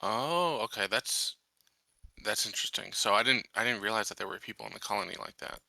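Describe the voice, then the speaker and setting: A man's voice: fairly low pitch, fairly quick, creaky, pushed and bright, no echo. A man chatting casually as a tabletop game player, tentative and questioning in his phrasing.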